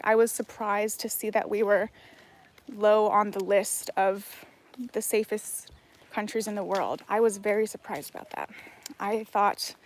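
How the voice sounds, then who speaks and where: A woman from Hawaii on a casual livestream, reading her chat aloud and rambling with lots of fillers and restarts while out on a walk. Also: medium pitch. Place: outdoors on a jungle walk.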